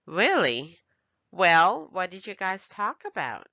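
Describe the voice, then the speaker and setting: A woman voicing a caring, easily amazed mother in a children's storybook, expressive and gently encouraging. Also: lowish voice, slow, no echo, lo-fi sound, full character voice.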